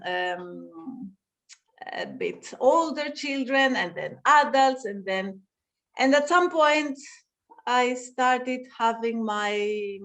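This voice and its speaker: A woman from Greece, a dancer and dance teacher, answering interview questions over a video call and often pausing to search for words. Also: a little high. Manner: thoughtful, searching for words, frequent restarts.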